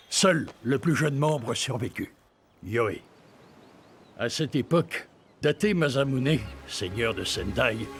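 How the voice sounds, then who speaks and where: Man narrating a cartoon prologue, solemn and measured, mid voice, over a score. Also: medium pitch. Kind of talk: scripted narration. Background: film score.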